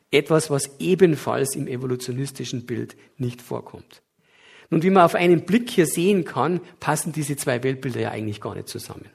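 A man's voice: mid-range voice, gravelly, husky. A middle-aged man with a light Bavarian accent giving a public lecture, explaining earnestly with occasional hesitations. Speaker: guest lecturer.

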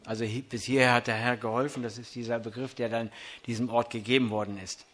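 An older man from Berlin with a light Berlin accent, preaching and reading scripture to a large congregation in an earnest, measured way with frequent hesitations. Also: mid-range voice, level tone, fairly bright tone. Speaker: traveling preacher. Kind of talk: sermon with Bible reading. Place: church hall.

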